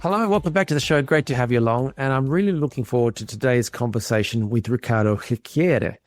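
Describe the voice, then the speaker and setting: Fast-talking man hosting an interview podcast. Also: mid-range voice, no room sound, soft background music. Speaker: podcast host.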